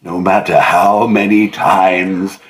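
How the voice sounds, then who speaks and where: An actor playing a possessive, vengeful animatronic elephant in a horror game, with a grave, menacing delivery. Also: male voice, low-pitched, flat delivery, slow pace, fairly bright tone, low-quality recording. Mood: cold menace. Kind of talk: video game villain voice acting.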